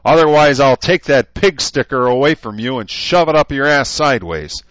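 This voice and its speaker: A man voicing a gruff, hot-tempered veteran drill sergeant who growls, barks and swears. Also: sits low, expressive, brisk, fairly bright tone, no room sound, muffled recording. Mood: furious shouting. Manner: barking, growling, profane.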